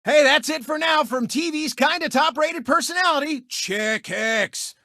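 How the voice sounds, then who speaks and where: A man's voice: very high voice, unhurried, pushed and bright, no echo, clean studio sound, exaggerated voice. A man voicing a cocky, egotistical race car who hosts a TV racing show, with boastful, mocking showboat delivery in a video game. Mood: smug boasting. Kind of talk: animated video game sportscast patter.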